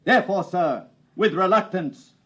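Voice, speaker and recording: A high-pitched male judge, stern and pompous. He speaks with formal emphasis, in the manner of old-time radio drama. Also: lively intonation, moderate pace, lo-fi sound, heavy background noise. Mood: grave, reluctant.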